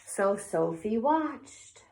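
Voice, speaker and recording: Slow, animated woman reading to children. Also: medium pitch, a little hiss or hum, low-quality recording. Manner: slow, animated, pausing for asides. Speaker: children's read-aloud presenter. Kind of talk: expressive picture-book storytelling to young children.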